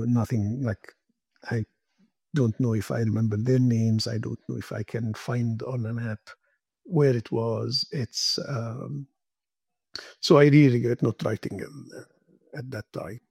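A man speaking in a reflective conversation, slow and hesitant, often trailing off mid-thought. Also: mid-range voice, steady tone, creaky, a little mellow, husky, no echo.

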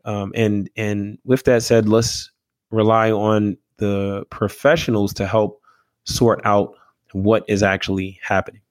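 A man explaining health topics in a measured, teaching way, often checking in with "right?" and filling pauses with "um.". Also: lowish voice, little pitch movement, dry recording. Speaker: medical expert co-host. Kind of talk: explanatory health podcast talk.

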